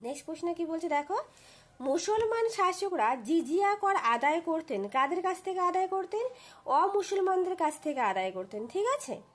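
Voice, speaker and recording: Female tutor, very high voice, walking school pupils through questions, often checking they follow. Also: sing-song, moderate pace. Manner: instructional, frequent checking tag questions. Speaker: teacher.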